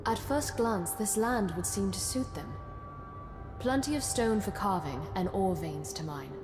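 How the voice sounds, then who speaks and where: A woman playing a solemn, thoughtful chronicler of a besieged fantasy city in a video game, narrating in a measured, grave and reflective way. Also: medium pitch, animated, lightly acted. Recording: echoey hall reverb under music.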